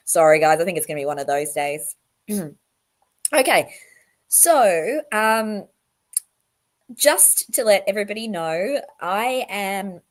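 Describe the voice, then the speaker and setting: A woman in her thirties with a croaky, sick voice, chatting casually with her livestream viewers and greeting them by name. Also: on the low side. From Australia. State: croaky voice from illness. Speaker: livestream host. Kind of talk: casual livestream talk to chat.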